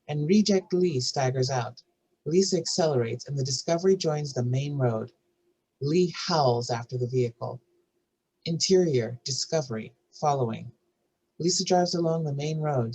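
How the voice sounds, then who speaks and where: A man's voice: on the high side, a little mellow, slight background noise, low-quality recording. A man narrating scripted scene directions as part of a screenplay table read. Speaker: narrator reading stage directions.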